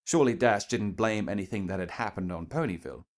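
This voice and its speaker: A man's voice: lowish voice, lively intonation, fast talker. A man reading scripted fiction aloud as a story narrator.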